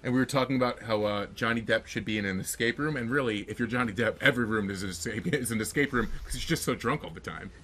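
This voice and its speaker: A man's voice: mid-range voice, fast, hardly any pauses, a bit bright, a little hiss or hum. A man co-hosting a podcast, chatting casually and jokingly, with strong opinions about what he likes. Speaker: podcast co-host. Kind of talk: casual podcast chat about games and films.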